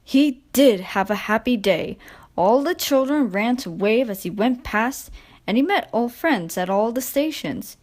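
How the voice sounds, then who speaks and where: A woman's voice: fairly high pitch, expressive, measured pace, a little mellow. A woman reading a children's story as a scripted storybook narration.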